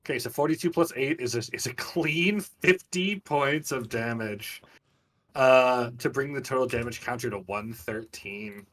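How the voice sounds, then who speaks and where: A man's voice: medium pitch, unhurried, fairly bright tone, far from the mic. Man, game master, mid-range voice, informal, vivid descriptions, casual rules talk, slightly off-mic. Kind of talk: live tabletop game narration and rules talk. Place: online group game stream.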